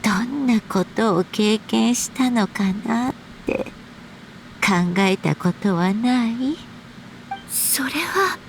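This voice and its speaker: A woman playing a gentle, wistful storybook author in a game, speaking in a measured, reflective way with breathy pauses. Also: fairly high pitch, animated, slight background noise. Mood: gently questioning. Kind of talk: Japanese game story voice acting.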